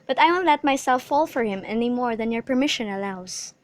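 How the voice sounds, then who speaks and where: A dutiful, guarded teenage girl in a student radio play, acted by a woman. Her voice is high and polite, recorded dry with hardly any room sound. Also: lively intonation, fairly quick, dry recording, natural voice. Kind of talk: amateur student radio drama acting.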